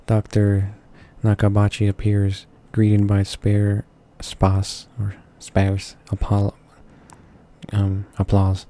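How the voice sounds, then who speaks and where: Low, monotone man reading a game aloud. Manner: halting reading, stumbling over words and correcting himself. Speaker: gaming video creator reading a visual novel aloud. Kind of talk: casual read-along narration with asides.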